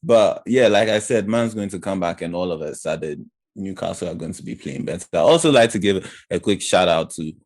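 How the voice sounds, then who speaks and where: A man's voice: sits low, animated, brisk. A man with a British accent, from Ghana, co-hosting a podcast in a joking, slangy way. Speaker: podcast co-host. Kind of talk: casual banter.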